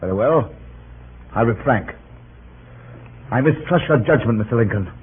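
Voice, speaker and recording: A man's voice: mid-range voice, lively intonation, moderate pace, close to an everyday voice. A man playing a proud, argumentative cabinet minister in an old radio drama, formal, clipped and indignant. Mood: defensive, indignant. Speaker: actor. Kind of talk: 1940s radio drama acting. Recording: noisy, narrow-band old radio drama recording.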